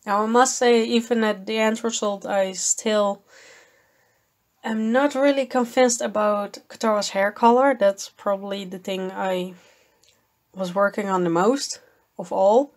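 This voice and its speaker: Slow-talking woman losing her voice. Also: medium pitch, steady tone. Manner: slow, thoughtful, with fillers and small laughs. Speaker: art channel host. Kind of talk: voice-over commentary on a painting video.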